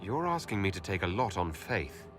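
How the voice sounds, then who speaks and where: Blunt game hero, slightly low pitch, expressive range, dry, sceptical, direct. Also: male voice, normal speed. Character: blunt, sceptical male elven leader and hero. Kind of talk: video game cutscene acting. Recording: music under the voice.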